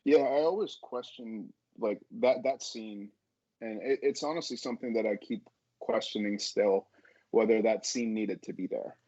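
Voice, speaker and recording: A man talking reflectively and haltingly as an author guest in a casual discussion. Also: lowish voice, dark tone, no echo. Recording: dull, close dry audio.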